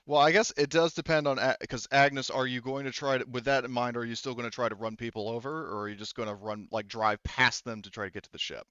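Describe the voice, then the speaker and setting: A man speaking as game master of a casual tabletop roleplaying game, in a halting, informal, sweary way. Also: mid-range voice, fairly even intonation, a bit bright, clean tone, dry recording, muffled recording.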